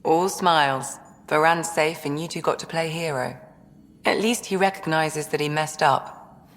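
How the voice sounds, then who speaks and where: A perceptive, calm counselor for a video game crew. Her voice is very low and gravelly, her manner measured and reassuring, heard in a slightly reverberant room. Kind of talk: video game dialogue acting.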